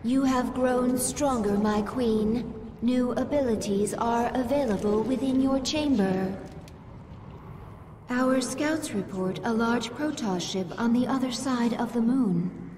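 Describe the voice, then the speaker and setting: A woman voicing a devoted alien advisor creature in a video game, calm, formal and deferential. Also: on the high side, takes it slowly. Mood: calm, solemn. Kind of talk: video game briefing dialogue. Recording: long reverb, noisy background.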